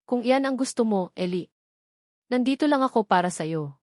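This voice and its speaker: A woman's voice: fairly high pitch, expressive, normal speed, no echo, clean studio sound, natural voice. A woman narrator voicing a manipulative woman with forced sweetness in an audio storybook. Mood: coaxing. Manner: coaxing, falsely sweet.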